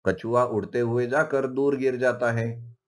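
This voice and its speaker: A man's voice: medium pitch, fairly even intonation, unhurried. A man giving scripted narration for a children's moral story.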